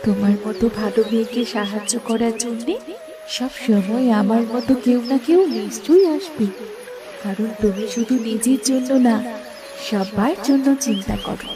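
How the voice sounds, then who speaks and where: A woman voicing a kindly magic mirror in a children's fairy-tale cartoon, gentle and consoling, with formal diction. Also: on the high side, normal speed, close to an everyday voice. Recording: music clearly behind the voice.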